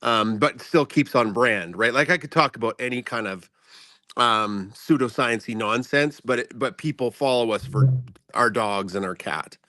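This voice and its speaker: A man speaking as a guest in a casual chat, explaining things in a halting, self-effacing way. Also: mid-range voice, bright, forceful tone, dry recording. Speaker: guest speaker, science teacher and content creator.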